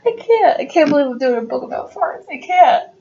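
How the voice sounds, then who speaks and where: A woman reading a story aloud informally, with chatty asides and an animated, giggly manner. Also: sits high, highs cut off. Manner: animated, giggly, easily cracked up.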